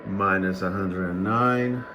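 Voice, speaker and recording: A man giving an unscripted hands-on demonstration as a radio hobbyist, speaking in a halting, matter-of-fact way. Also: sits low, noisy background.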